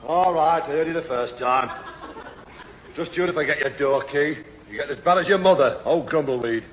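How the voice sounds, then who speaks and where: An actor playing a blunt, grumbling, middle-aged working-class husband with a Northern English accent in a 1970s radio sitcom. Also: male voice, a little high, normal speed, close to an everyday voice. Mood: irritated. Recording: echoey old radio hall, faint hiss.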